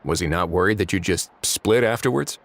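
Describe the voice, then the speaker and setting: An actor playing a calm, dry investigator who speaks in a measured, deadpan, firm way. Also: male voice, sits low. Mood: probing. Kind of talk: video game voice acting.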